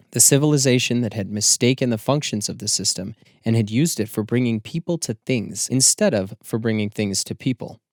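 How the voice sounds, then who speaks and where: Low-voiced man narrating, close and dry. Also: no echo. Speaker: audiobook narrator. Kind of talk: scripted literary narration.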